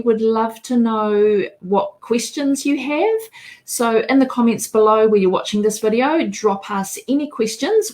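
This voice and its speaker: A woman with a New Zealand accent hosting a live interview show, speaking in a welcoming way. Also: medium pitch. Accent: New Zealand English. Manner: welcoming, opens in te reo Māori. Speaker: host.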